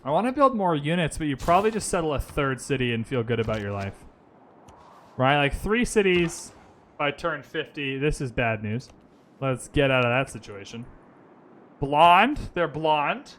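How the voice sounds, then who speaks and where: A man's voice: fairly high pitch, sing-song, normal speed, fairly bright tone, slight background noise. A man streaming a strategy game, thinking his moves aloud and chatting casually with his viewers. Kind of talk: casual gaming commentary. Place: lives in Vancouver.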